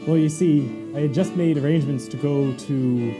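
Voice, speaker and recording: A man's voice: a little high, moderate pace, over music, close to an everyday voice. A man playing a hesitant, defensive husband. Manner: hesitant, stalling. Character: defensive, polite man under questioning. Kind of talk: staged reading of a short story scene.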